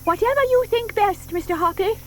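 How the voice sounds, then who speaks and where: A woman's voice: very high voice, brisk, a bit bright. An actress playing a sweet, trusting older lady, hesitant, polite and easily puzzled, in a children's audio drama. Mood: eager, puzzled. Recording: faint hiss from old cassette tape.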